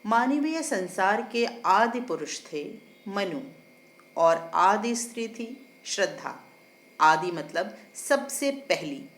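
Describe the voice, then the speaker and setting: A woman voicing a learned older male judge in a story, explaining philosophy in a measured, didactic way with emphatic English phrases. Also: medium pitch, big pitch swings. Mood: explaining, solemn. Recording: roomy echo, faint background noise.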